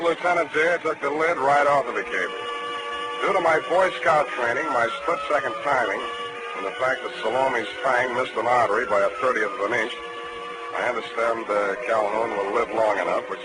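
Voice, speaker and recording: A man's voice: mid-range voice, a little fast, over loud music, lightly acted. An American man acting a wry, tough, flirtatious private detective in a 1940s radio drama. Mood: wry reporting. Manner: wry, sardonic. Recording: noisy vintage 1940s radio broadcast.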